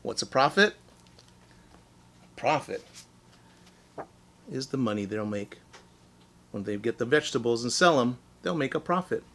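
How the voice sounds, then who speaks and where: A man's voice: mid-range voice, unhurried, long pauses, fairly bright tone. A man reading a children's story as a teacher, expressively, explaining things in simple words.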